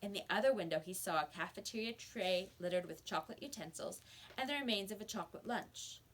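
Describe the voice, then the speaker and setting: A woman reading a children's story aloud as a teacher would, with expressive narration. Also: mid-range voice, brisk, rough recording.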